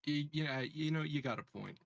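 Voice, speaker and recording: A man playing an online tabletop game, chatting casually in a halting, questioning way. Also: a little high, vocal fry, no echo.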